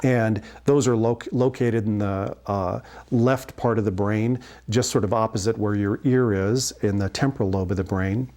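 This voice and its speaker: Man explaining in measured phrases. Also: on the low side, steady tone, mellow. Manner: measured, explanatory, with pauses mid-sentence. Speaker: family physician and instructor. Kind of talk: explanatory health lecture for an instructional video.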